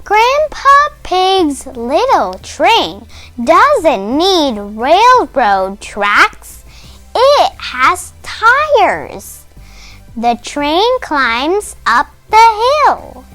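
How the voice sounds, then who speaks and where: Very high, slow-paced child reading a storybook. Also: soft background music. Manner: expressive, sing-song reading. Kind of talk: read-aloud children's storybook narration.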